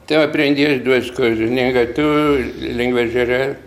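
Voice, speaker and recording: An older man, a missionary priest from Lithuania speaking with a Lithuanian accent, recalling the past in halting, rambling talk full of restarts. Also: medium pitch, fairly even intonation. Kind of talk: interview recollection.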